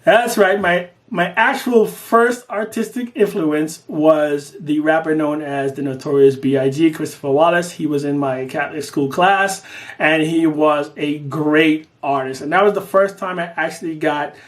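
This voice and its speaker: A middle-aged man speaks earnestly to the camera, his voice sitting a bit high for a man. He tells his story in lively swings, rushing some phrases and stretching others out for emphasis. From Guyana. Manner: earnest, lively, swings between quick bursts and slow emphasis. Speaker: artist making an audition video.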